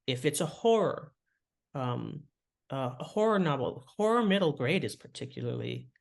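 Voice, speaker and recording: A woman talking as an author and interview guest, explaining writing craft in an animated, enthusiastic way. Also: low-pitched, moderate pace, vocal fry.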